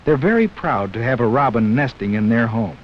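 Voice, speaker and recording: A dull, faintly hissy 1960s film soundtrack carries a man's narration. His pitch is mid-range and moves in lively swings. He explains things to young viewers in a measured, scripted educational style.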